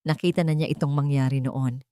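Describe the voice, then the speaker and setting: A woman with a Filipino accent narrating a scripted dramatic story in measured phrases with pauses. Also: rumbling low, steady tone, mellow, clean tone, no room sound, clean studio sound.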